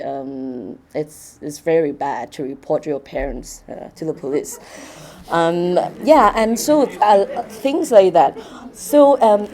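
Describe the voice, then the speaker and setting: Low, mellow-voiced woman from Hong Kong. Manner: hesitant, many fillers and restarts. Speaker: event presenter. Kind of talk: short talk to an audience.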